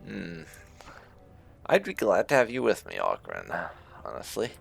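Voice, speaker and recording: A man giving casual let's play commentary as a gaming channel host, halting and dry in delivery. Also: low-pitched, huge pitch swings, gravelly, husky, soft background music.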